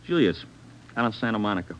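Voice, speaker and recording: Cocky young hoodlum, swinging pitch. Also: male voice, big pitch swings, fast talker, fairly bright tone. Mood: scornful. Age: young adult. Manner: insolent, slangy tough talk. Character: cocky, sullen young car burglar aged twenty-one. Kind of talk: 1940s radio acting. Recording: dull old radio recording.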